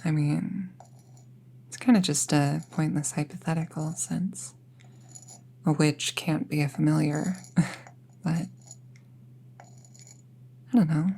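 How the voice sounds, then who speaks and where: A woman playing a friendly, chatty young apprentice witch in an intimate roleplay audio, musing casually in a soft, husky, breathy voice. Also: low voice, steady tone, slow, long pauses, full character voice. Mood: playful, musing. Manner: casual, musing, softly breathy, often near a whisper.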